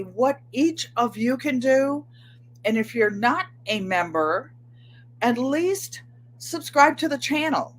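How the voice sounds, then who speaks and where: Chatty older New England woman, slow and higher. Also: slight background noise, low-quality recording. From Connecticut, USA. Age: 70s. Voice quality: slightly nasal, twangy. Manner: chatty, excitable, gestures with hands. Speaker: channel host. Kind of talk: casual livestream chat. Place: home room with bookshelves.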